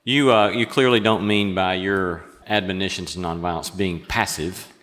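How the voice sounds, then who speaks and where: A man hosting and interviewing for a podcast, presenting in a measured, deliberate announcer's way. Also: low voice, fairly even intonation, fairly bright tone. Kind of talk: hosting and presenting a public theology show.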